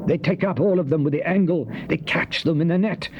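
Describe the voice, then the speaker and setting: A man acting an anguished prophet who pleads and laments before God in a solemn, drawn-out, declamatory scripture drama. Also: fairly high pitch, natural voice. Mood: reverent, pleading. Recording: dull cassette tape with faint noise.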